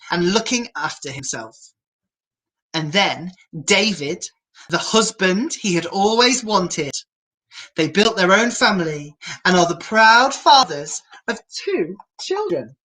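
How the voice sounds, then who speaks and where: A woman with a mid-pitched voice reads a children's picture book aloud at an unhurried, slow pace. Her delivery is theatrical and playful, and she speaks directly to the viewers as a storyteller would. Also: a bit bright, low-quality recording. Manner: theatrical, playfully self-mocking. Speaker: children's storyteller, drag queen.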